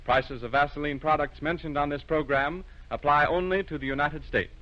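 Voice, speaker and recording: A man's voice: on the high side, brisk. A man announcing in a formal, polished 1930s radio advertising style, on a muffled old recording.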